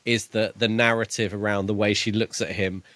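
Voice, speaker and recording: A man's voice: fairly low pitch, level tone, moderate pace, a bit bright, dry recording. A man co-hosting a podcast in a casual book discussion, thinking aloud in a tentative way. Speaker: podcast co-host.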